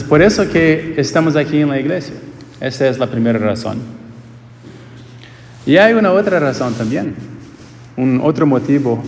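A man with a Portuguese accent preaching a homily in a church, in slow, searching phrases that often restart. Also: mid-range voice, a little mellow. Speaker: priest. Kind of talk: homily at a quinceañera Mass. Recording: echoey church with long reverb.

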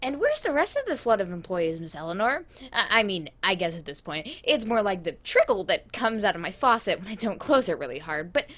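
Woman playing an excitable young male radio superfan. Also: medium pitch, a little fast, few pauses. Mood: earnest rambling. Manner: stammering, excitable, earnest. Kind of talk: scripted radio comedy acting.

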